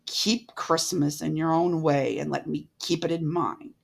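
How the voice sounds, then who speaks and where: A woman voicing a miserly, bitter old man in a storybook reading, with gruff, scornful retorts. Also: low-pitched, fairly even intonation, unhurried, darkish tone, full character voice. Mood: indignant.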